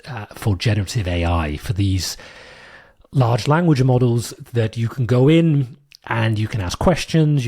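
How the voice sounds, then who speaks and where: A man with a British accent hosting his own podcast, explaining a technical topic in a thoughtful, unscripted way with many fillers and restarts, his voice a bit hoarse from a cold. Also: fairly low pitch, dark tone. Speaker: podcast host. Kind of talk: solo explanatory podcast monologue.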